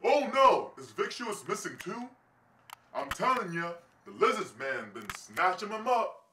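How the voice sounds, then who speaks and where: A man playing an excitable, gossipy small-town neighbor in an amateur audio drama, with a folksy manner and dropped word endings. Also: sits high, a little slow, far from the mic, full character voice. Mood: alarmed, gossipy. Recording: roomy, off-mic, faint noise.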